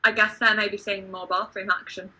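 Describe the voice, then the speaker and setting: A woman's voice: medium pitch, flat delivery, normal speed, sharp tone. A woman chatting casually as a contestant in an informal quiz. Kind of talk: casual chat.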